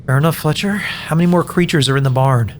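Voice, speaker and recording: A man's voice: on the high side, fast, a little hiss or hum, close to an everyday voice. A man playing a wry, scheming captive in a comic fiction podcast, talking plainly and urgently. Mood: conspiring, determined. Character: wry scheming captive forced to play Cody the Cow.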